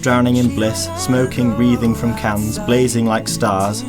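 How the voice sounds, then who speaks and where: A man's voice: mid-range voice, little pitch movement, unhurried. A man reading poetic scripted narration for a radio play. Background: music with plucked guitar.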